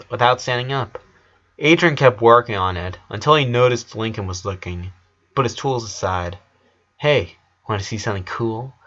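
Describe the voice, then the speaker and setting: A man's voice: mid-range voice, a bit bright. A man reading a story aloud as narrator, in the style of an amateur audiobook. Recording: slightly dull lo-fi recording.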